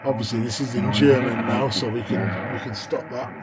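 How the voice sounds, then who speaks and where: A man's voice: mid-range voice, steady tone, normal speed. A man chatting casually as a radio hobbyist in a vlog, musing aloud in a hesitant, unscripted way. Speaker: amateur radio hobbyist reviewing receivers. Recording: noisy, with shortwave radio hiss. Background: radio static and broadcasts.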